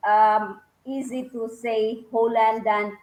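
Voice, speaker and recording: A woman's voice: fairly high pitch, flat delivery, takes it slowly, darkish tone. Filipina woman, eager, rambling panel guest on a video call, echoey room. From Philippines. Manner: enthusiastic, rambling with fillers and restarts. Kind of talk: casual online panel talk.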